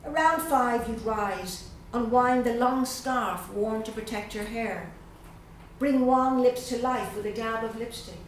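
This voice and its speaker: Middle-aged Irish woman, guest poet, measured and unhurried reading, loose and chatty between pieces; picked up far from the mic in a very echoey room. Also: fairly high pitch, a little hiss or hum. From Dublin, Ireland. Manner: slow, measured reading with pauses; chatty and hesitant between poems. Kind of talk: poetry reading at a literary evening. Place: event room at a literary gathering.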